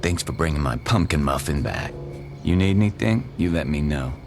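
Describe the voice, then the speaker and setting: An actor playing a deadpan, unhurried husband in a video game, with a gravelly voice. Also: male voice, very deep voice, background noise, exaggerated voice. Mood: relaxed affectionate.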